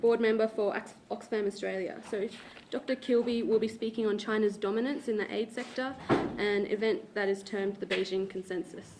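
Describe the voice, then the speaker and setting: A woman's voice: mid-range voice, steady tone, normal speed, hardly any pauses, noisy background. A woman moderating a university panel event, welcoming the audience and introducing the speakers in a friendly way, stumbling over a word now and then. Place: university lecture room.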